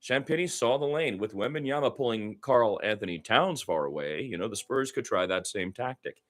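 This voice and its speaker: A man's voice: medium pitch, lively intonation, normal speed. A man doing amateur radio-style basketball commentary in a conversational, folksy manner. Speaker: play-by-play commentator.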